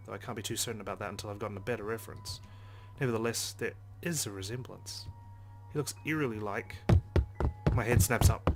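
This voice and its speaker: A man reading a visual novel aloud as a let's play host, narrating expressively with casual asides. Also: on the low side. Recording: game music behind the voice.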